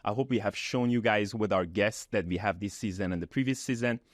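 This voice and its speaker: A man's voice: on the low side, brisk, no room sound, clean studio sound. A man from Malaysia hosting an interview, asking questions in an easygoing way. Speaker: podcast host.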